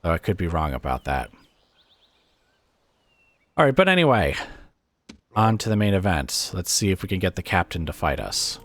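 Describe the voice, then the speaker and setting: A man with a raspy, weakened voice from illness, casually commentating a strategy game and thinking aloud with plenty of hesitant fillers. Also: sits low, sing-song, normal speed, pauses often, a bit bright.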